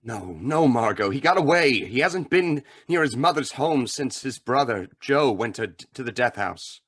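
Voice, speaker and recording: A man's voice: mid-range voice, lively intonation, moderate pace, a bit bright, slightly rough. A man playing a brooding, determined crime fighter in an old-time radio drama. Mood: somber.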